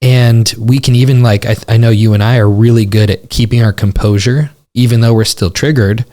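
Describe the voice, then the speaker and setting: A young adult man co-hosting a relationship podcast, talking thoughtfully with halting restarts. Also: mid-range voice, fairly even intonation, dark tone. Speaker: podcast co-host. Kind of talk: casual podcast chat about relationships.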